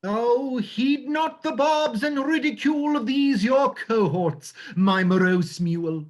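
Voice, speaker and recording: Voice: mid-range voice, slow pace, a little mellow, natural voice. An actor playing a pompous, drunken, narcissistic owl in a stage script reading, with a florid, hammy delivery. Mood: melodramatic.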